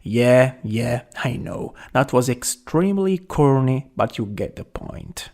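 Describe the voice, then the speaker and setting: A young man acting a caring, worried best friend in an ASMR roleplay, gentle, hesitant and intimate. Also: mid-range voice, lively intonation, slow. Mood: earnest, comforting.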